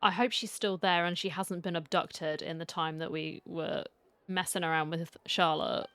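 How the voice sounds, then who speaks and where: A woman's voice: lowish voice, steady tone, normal speed, fairly bright tone, a little hiss or hum. A woman giving casual playthrough commentary as she reacts to a story game, with wry little asides. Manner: wry asides, reading choices aloud. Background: faint game music.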